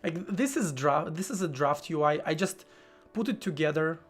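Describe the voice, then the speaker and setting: A man live-streaming while he codes, thinking aloud in a halting way, with many fillers and restarts. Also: fairly high pitch, animated, slightly rough, soft background music. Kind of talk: casual live-stream talk while coding.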